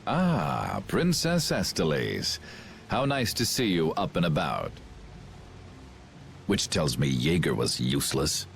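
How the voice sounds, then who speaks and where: A cold, pompous would-be ruler in a game cutscene. His voice sits very deep and moves in measured, formal phrases that swing widely for theatrical weight. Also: wildly swooping pitch, vocal fry, slight background noise, full character voice. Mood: smug, mocking. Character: grandiose male villain commander, cold would-be ruler. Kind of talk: video game cutscene acting.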